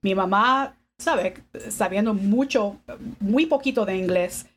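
A woman in her fifties, a podcast guest, telling family stories in a relaxed way and mixing English and Spanish. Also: mid-range voice, takes it slowly, a little hiss or hum. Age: 50s. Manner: switches between English and Spanish, laughs often. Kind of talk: casual bilingual conversation.

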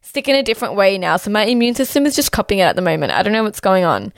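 A young woman hosting a podcast in casual chat. She has a sore throat, so her voice is slightly hoarse, and she talks fluently with many "like" and "uh" fillers. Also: medium pitch, very animated, no room sound, studio quality. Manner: chatty, fast-flowing, with "like" and "uh" fillers. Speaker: podcast host.

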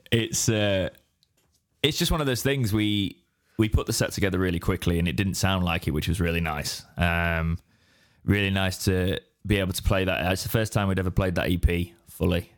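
An English man with a deep, raspy, hoarse voice from straining it, rambling in casual podcast chat. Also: moderate pace. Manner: rambling, restarts mid-sentence. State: hoarse after straining his voice. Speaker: podcast co-host.

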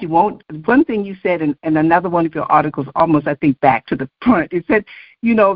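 Female podcast host, low voice, affirming, reads points, muffled lo-fi sound. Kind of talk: casual podcast talk.

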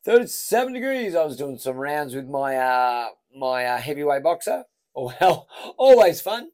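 A man's voice: on the high side, big pitch swings, moderate pace, a little mellow. Laid-back swearing Australian man. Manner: laughing, swearing, loose banter. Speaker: podcast host, boxing coach. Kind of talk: casual chat.

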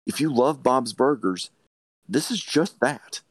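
A man's voice: medium pitch, lively intonation, a little slow, no echo. A man co-hosting a podcast, giving casual film opinions emphatically and repeating phrases for stress. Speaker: podcast co-host. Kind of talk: casual film review chat.

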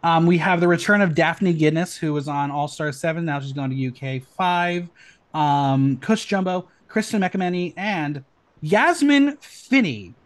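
A man hosting a casual podcast, chatty and excitable, rattling off news with lots of ums. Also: a little high, a little slow. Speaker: podcast host.

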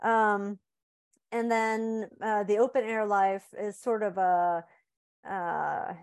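This is a woman in her forties who grew up in Sweden, appearing as a guest author in a casual interview. Her voice sits at an ordinary middle pitch for a woman. She talks in a thoughtful, halting way, with frequent fillers and restarts. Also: steady tone, clear, resonant tone.

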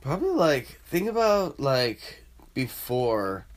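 A man's voice: mid-range voice, sing-song, slow pace. A man hosting a podcast, chatting loosely and rambling after a few drinks. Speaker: podcast host. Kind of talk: casual chat.

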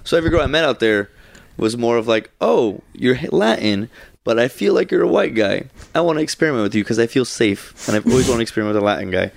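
A man telling stories in relaxed, laid-back casual chat. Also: medium pitch, fast, vocal fry, dry recording.

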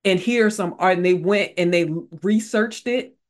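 An earnest woman speaking as a guest in a relaxed interview. Her pitch sits in the middle of the range, and she stresses her points by repeating phrases. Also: normal speed. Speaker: author and leadership speaker, guest. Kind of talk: casual interview conversation.

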